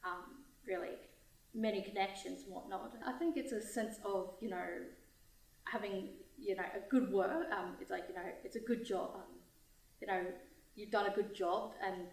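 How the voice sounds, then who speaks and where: A woman's voice: medium pitch, fairly even intonation, normal speed, a little mellow. A woman volunteer talking casually, full of "you know", in an interview. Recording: echoey, far from mic.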